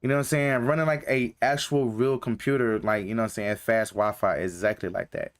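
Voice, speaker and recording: A man's voice: lowish voice, steady tone, brisk. Young male streamer from North Carolina; loose, filler-heavy slangy chat to viewers. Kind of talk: casual live-stream chat.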